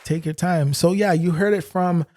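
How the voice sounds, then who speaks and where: A man's voice: sits high, fairly even intonation, moderate pace, a crowd in the background, noisy background. A man with a Canadian accent co-hosting a podcast, talking casually in a laid-back, slangy way and prompting his co-host. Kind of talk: casual conversation.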